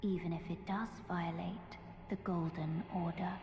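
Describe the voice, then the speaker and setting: Female actor as enigmatic young fantasy woman, low-pitched, breathy and solemn, game cutscene, echoing hall. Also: fairly even intonation, muffled recording, natural voice. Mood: gentle, solemn. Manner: slow, solemn. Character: soft-spoken, solemn mysterious young woman of dark fantasy. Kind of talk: scripted video game cutscene acting. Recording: long hall reverb, faint noise.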